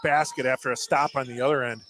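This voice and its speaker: An American man provides color commentary on a basketball game. His voice is of medium pitch, and he talks in a conversational, analytical way. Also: steady tone, fast, background noise.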